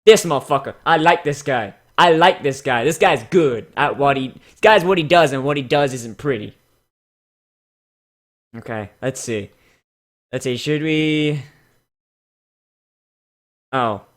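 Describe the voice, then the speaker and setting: A man's voice: mid-range voice, unhurried, pauses often. A man giving casual, mocking comedic commentary as the host of a reading show. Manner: sarcastic, mocking.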